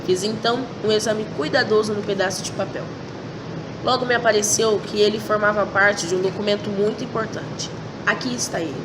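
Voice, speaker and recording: A woman playing a sharp, commanding male detective in an amateur school radio drama, laying out his deductions methodically. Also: mid-range voice, steady tone, a little fast, close to an everyday voice. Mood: explaining. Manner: lecturing, methodical explanation. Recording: distant mic with loud noise.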